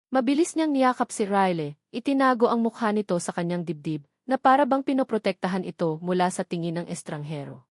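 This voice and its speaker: Woman, story narrator, dramatic scripted romance delivery, medium pitch, dry recording. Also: expressive, brisk, darkish tone, no echo.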